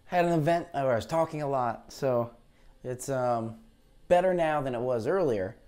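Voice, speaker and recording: A man with a tired, low voice after heavy talking, hosting a live weather stream in a chatty, rambling way, talking into a lavalier mic. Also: moderate pace, a little mellow. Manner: chatty, rambling, unsure asides. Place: green screen studio, indoors. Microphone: lavalier clip mic.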